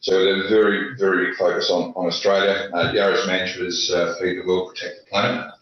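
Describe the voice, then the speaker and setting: Low-voiced man on an echoey call. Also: flat delivery, a little hiss or hum. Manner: halting, many filler sounds and restarts. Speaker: panelist, industry executive. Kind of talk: conference panel remarks. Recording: echoey, dull lo-fi audio.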